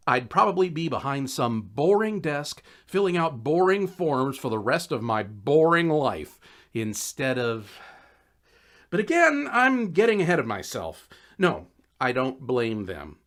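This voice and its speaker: Animated man narrating a story. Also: fairly high pitch, measured pace. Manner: wry, conversational, expressive. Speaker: audiobook narrator. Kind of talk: animated first-person storytelling, scripted narration.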